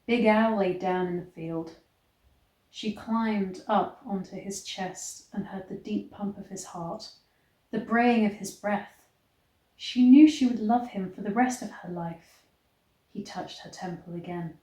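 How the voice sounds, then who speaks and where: Slow-reading woman in an echoey room. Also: mid-range voice, mellow. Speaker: host reading a story aloud. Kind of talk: dramatic storybook reading with disgusted asides. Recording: echoey, roomy sound.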